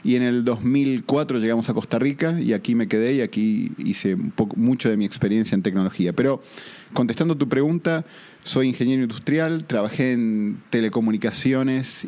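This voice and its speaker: A middle-aged man with an Argentine accent tells stories from his career in a relaxed, unhurried way. His voice sits at a medium pitch. He is a guest speaking in an office. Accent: Argentine Spanish. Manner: relaxed, easygoing storyteller with frequent "eh" fillers. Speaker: guest entrepreneur. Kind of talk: casual storytelling interview.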